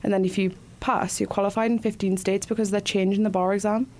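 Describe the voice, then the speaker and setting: A woman's voice: mid-range voice, flat delivery, quick pace, warm tone. Young Geordie woman, giggly and easygoing. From Newcastle, England. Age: 20s. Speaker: law student guest. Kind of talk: interview answers. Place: radio studio.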